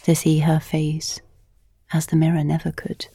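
Female narrator, intimate literary audio piece. Mellow, slightly low voice, hushed and measured. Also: monotone, vocal fry, slightly rough, no room sound. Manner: slow, hushed, measured delivery, often near whispering. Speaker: narrator and performer of an audio playlet.

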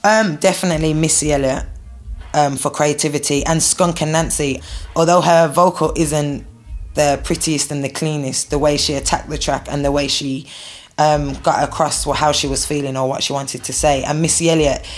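A woman's voice: low-pitched, fairly even intonation, moderate pace, faint music behind. A woman who raps, answering interview questions in a frank, defiant, casual way. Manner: frank, defiant, laughs easily. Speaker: rapper being interviewed.

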